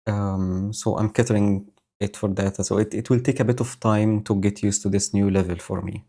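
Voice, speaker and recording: A man streaming live, explaining his code slowly and thinking aloud with many fillers. He has a low voice and is speaking into a home microphone setup with a noise gate. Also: fairly even intonation, mellow. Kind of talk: casual live-coding commentary. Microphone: new home audio setup with noise gate.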